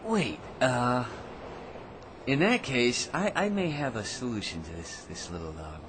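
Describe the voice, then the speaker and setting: An actor playing a tipsy, cheeky barroom blowhard who rambles, stammers and wheedles in an old-time radio drama style. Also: male voice, mid-range voice, sing-song, unhurried, noisy background. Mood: scheming, gleeful. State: drunk. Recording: music behind radio drama dialogue.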